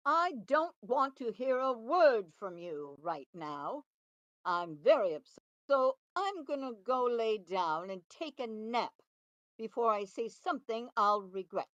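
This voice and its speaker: Lady playing strict creature mum, highish and swingy voice, holding in anger, script read. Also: very animated, measured pace, fairly bright tone, no room sound. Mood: seething, restrained. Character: stern creature mother, seething, wearily restrained. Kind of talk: screenplay table read. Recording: slightly dull call audio.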